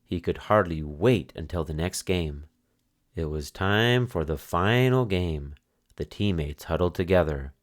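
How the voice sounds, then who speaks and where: A man reading a children's picture book aloud as narrator, in expressive storytelling style. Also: sits low, a little slow.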